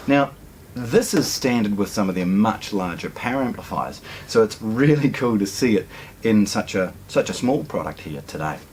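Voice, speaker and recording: A man's voice: on the low side, a little mellow, a little hiss or hum. A middle-aged man, presenting a casual product unboxing in a hi-fi store, chatty and enthusiastic with frequent ums and restarts. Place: hi-fi store, indoors.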